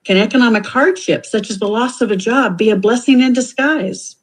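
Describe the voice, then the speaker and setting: A woman reading scripted audiobook commentary in a deliberate, reflective way. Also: on the high side.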